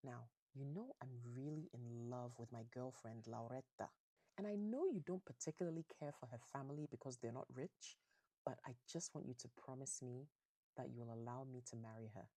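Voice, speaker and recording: An earnest, lovesick young man, acted by a woman storyteller, coaxes in a low, husky voice. He sounds appeasing and sincere, in a story retelling. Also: moderate pace, mellow, dry recording. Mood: hopeful.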